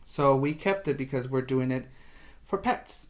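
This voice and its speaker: A man working as a preschool teacher, reading a picture book aloud to young children with expressive emphasis and asides. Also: mid-range voice, a little slow.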